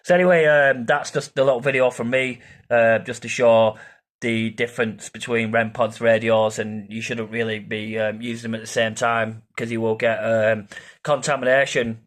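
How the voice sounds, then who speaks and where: A man's voice: medium pitch, fairly even intonation, moderate pace. A man talks through an informal explainer video in the way of an amateur investigator. His delivery is rambling and hesitant, circling back over his points, with the odd casual swear word.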